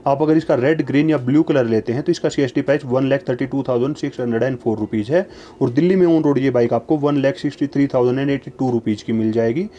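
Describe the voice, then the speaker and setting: A man narrating a voice-over, reading out long lists of prices in a steady, even, formulaic way. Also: medium pitch, fast, dull audio, a little hiss or hum.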